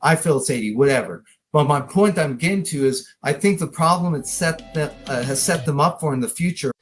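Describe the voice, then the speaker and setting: A man's voice: fairly high pitch, a little fast, faint music behind. A man debating online in an informal, emphatic, argumentative conversational way. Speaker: debate participant. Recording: clear background noise.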